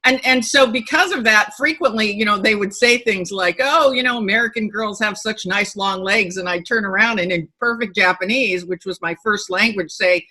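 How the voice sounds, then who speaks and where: Middle-aged woman, bright voice, animated and candid interview guest on a video call. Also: medium pitch, normal speed, few pauses, poor audio. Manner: animated storyteller, emphatic and candid. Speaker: author guest. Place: home room with bookshelves.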